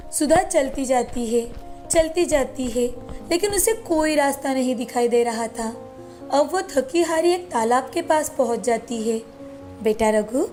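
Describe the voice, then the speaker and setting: High-pitched woman storyteller. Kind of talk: scripted children's story narration.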